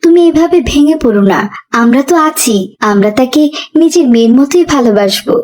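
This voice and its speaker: A woman voicing a pious, gentle but admonishing young mother in a children's moral cartoon. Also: high voice, lively intonation, a little fast. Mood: soothing.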